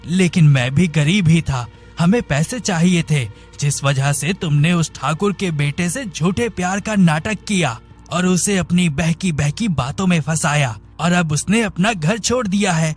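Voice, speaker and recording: A man voicing a cunning blind schemer who puts on a plaintive, melodramatic manner in an animated story. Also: on the high side, measured pace, faint music behind, natural voice. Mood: scheming. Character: scheming blind man feigning helpless, wronged innocence. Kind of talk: animated moral story dialogue.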